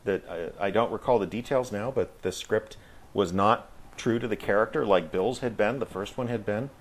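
A middle-aged American man reminiscing casually as a guest in an interview, speaking in a reflective, halting way. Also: fairly low pitch. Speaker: guest, audio drama actor.

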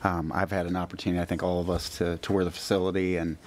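Deep-voiced man on a council desk mic. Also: flat delivery, fast talker, a little hiss or hum. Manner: long-winded, courteous questioning. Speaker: town council member. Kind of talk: public meeting questioning. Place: town council chamber. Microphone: desk microphone.

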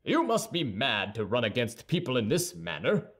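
A man voicing a vain, gruff giant of a male musketeer with a blustering manner. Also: mid-range voice, huge pitch swings, normal speed, fairly bright tone, heavily acted. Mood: gruff annoyance.

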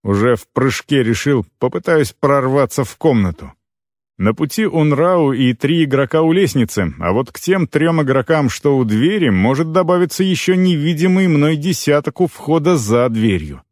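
A man narrating scripted first-person fiction as an audiobook reader, expressive, with deliberate phrase breaks. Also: on the high side, no echo, clean studio sound.

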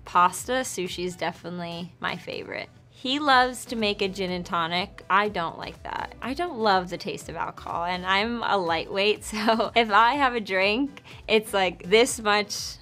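A woman's voice: medium pitch, normal speed, few pauses, sharp tone, soft background music. A young woman in her twenties chatting casually to the camera in a studio, often laughing and filling with "like". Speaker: interviewee. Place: indoor studio before a dark backdrop.

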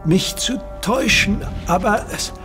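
A man's voice: high voice, measured pace, over music. An actor playing an older father who is tormented by pain and speaks desperately in a German radio drama. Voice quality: groaning, strained and desperate.

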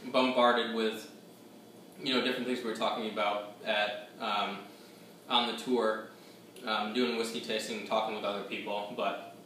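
A man's voice: on the low side, sharp tone, a little hiss or hum. A young man in his twenties giving a casual whiskey tasting review to the camera, rambling and hesitant with many fillers, seated at a table in a small room at home. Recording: echoey room, voice a bit distant.

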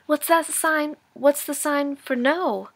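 A woman's voice: on the high side, normal speed, creaky, a bit bright. A woman voicing a stubborn, devoted ten-year-old boy in a storybook reading, raising her voice to sound eager and boyish.

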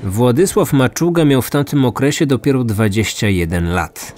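A man's voice: medium pitch, lively intonation, normal speed, a little mellow. A man narrating a scripted true-crime story as a podcast host.

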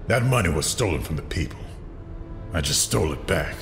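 An actor playing a scarred, tormented crime boss in an audio drama, his voice strained and gravelly, his manner menacing and bitter. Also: deep voice, expressive, a little fast, fairly bright tone. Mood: bitter, pained. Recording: echoing hall under music.

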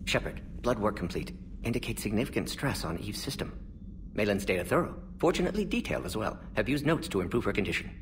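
An actor voicing a brisk, fussy old male alien scientist in a video game, speaking in clipped, clinical fragments. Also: on the low side, lively intonation, distant mic, noisy background, lightly acted. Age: older. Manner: clipped, staccato, drops small words, clinical.

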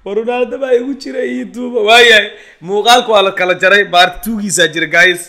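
A man's voice: sits high, racing. A young man giving reaction commentary on a clip, laughing often and mimicking the people he watches. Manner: animated, laughing, mimicking others. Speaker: comedy YouTuber. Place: small indoor home studio.

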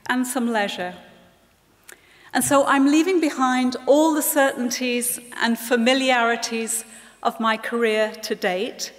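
Slow, earnest woman speaking in a hall. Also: on the high side. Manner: measured, earnest, addresses the students directly. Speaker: guest speaker at a leavers' ceremony. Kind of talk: formal ceremony speech. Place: large hall. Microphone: lectern microphone. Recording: reverberant hall.

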